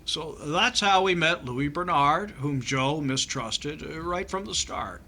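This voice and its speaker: A man's voice: a little high, takes it slowly, pushed and bright, heavily acted. A man playing a fussy, naive, folksy American doctor with a hesitant manner in an old-time radio comedy, on a noisy recording. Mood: earnest recounting.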